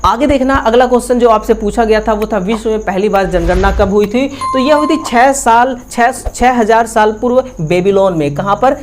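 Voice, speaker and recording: Woman, Indian accent, fairly high pitch, instructive lesson delivery, repeats answers, frequent check-in tags. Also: normal speed, hardly any pauses, a little hiss or hum. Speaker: exam coaching teacher. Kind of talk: explanatory exam-review lesson.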